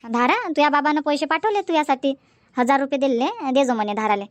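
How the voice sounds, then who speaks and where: A woman's voice: high-pitched, quick pace, no echo, lightly acted. A woman voicing a homely older village mother-in-law in a cartoon comedy, chatty and instructing, with a Varhadi Marathi accent. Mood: homely, explaining. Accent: Varhadi Marathi from Vidarbha. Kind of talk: amateur cartoon comedy dubbing in village dialect.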